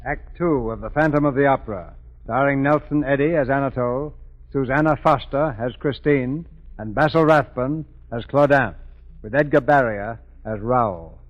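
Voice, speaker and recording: A man's voice: medium pitch, moderate pace. A man presenting a radio program in formal 1940s announcing style. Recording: dull, reverberant vintage radio hall sound.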